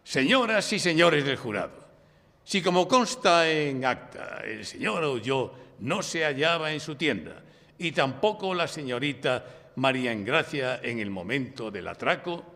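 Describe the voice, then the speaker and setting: A man's voice: on the high side, very animated, unhurried, sharp tone, heavily acted. A man playing a celebrated lawyer who is theatrical, pompous and playful, in a courtroom audio drama performed live. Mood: rhetorical. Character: Perry Mason, celebrated male lawyer, theatrical, playful, dog-like. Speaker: actor, narrator of own case. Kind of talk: theatrical courtroom radio drama before an audience. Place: public library hall, live performance. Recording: reverberant library hall, faint background noise.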